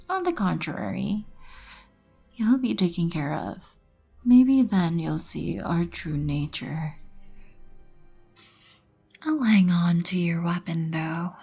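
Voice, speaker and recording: Breathy, slow-spoken vampire queen, acted by a woman. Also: lowish voice, full character voice. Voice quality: breathy, often near whisper. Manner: poised, haughty, measured, soft-spoken. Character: regal, composed female vampire queen, proud and wryly merciful. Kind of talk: ASMR roleplay acting.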